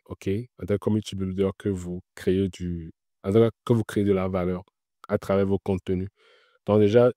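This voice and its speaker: A man's voice: low-pitched, mellow, no room sound, clean studio sound. A man being interviewed, giving casual career advice in an explanatory way, repeating his points with frequent filler words. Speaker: interview guest working in Web3.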